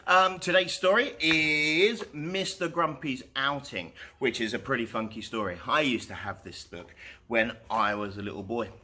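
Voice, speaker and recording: A man hosting storytime, reading aloud to children in an animated storytelling style. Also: medium pitch, normal speed.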